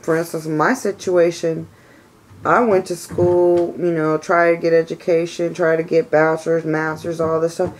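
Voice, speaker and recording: A woman in her thirties with a cold, stuffed up and raspy, venting her frustrations in a rambling personal vlog full of "you know"s. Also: sits low, a little mellow, poor audio. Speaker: vlogger. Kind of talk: casual personal vlog rant.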